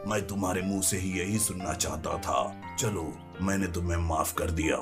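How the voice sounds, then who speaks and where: A man voicing a regal, stern but teasing male lion in an animated moral story. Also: sits low, moderate pace. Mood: amused. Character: stern lion king who turns out teasing and forgiving. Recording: loud background music.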